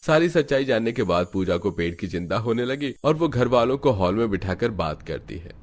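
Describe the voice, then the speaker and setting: A man's voice: mid-range voice, big pitch swings, brisk. A man narrating a scripted cartoon family story.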